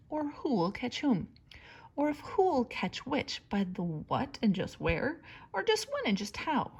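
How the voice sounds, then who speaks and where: Female storybook reader, teacherly and dramatic, on the higher side, slightly husky. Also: darkish tone. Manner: dramatic, theatrical emphasis on words. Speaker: teacher reading aloud. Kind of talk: expressive children's storybook read-aloud.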